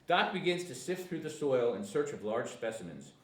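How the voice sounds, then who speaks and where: A man's voice: mid-range voice, very animated, moderate pace, recorded from a distance. A man reading stage directions at a comedy screenplay table read, sometimes stumbling over words. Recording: echoey room with faint noise.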